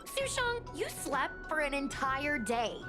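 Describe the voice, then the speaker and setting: A woman voicing an energetic, excitable young female streamer in a video game, chatty and teasing in manner. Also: sits high, takes it slowly, music in the background, heavily acted.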